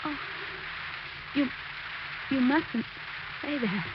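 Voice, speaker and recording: A woman's voice: sits high, very slow. A woman playing a dying, devoted wife in a 1940s radio drama, tender and faint. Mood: weak, tender. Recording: noisy, muffled 1940s radio recording.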